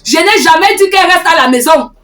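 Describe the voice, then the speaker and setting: A woman playing a defiant, sharp-tongued wife and strict stepmother in a heated domestic drama, combative and taunting. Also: high voice, animated, brisk. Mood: argumentative. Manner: combative, taunting, talks back. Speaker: actor. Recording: roomy echo, distant mic, music.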